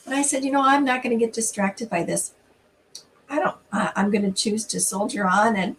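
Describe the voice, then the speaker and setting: A middle-aged woman hosting a church storytime, talking informally and reading aloud in an expressive, conversational way straight to her listeners, recorded slightly away from the mic. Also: a little high, recorded from a distance, rough recording.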